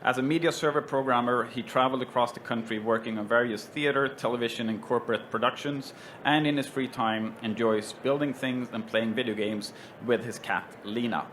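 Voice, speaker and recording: A man's voice: medium pitch, brisk, a little hiss or hum. Swedish-accented man moderating a panel. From Sweden. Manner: relaxed, joking, with frequent ums and uhs. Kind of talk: trade show panel introduction. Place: trade show stage with stands around.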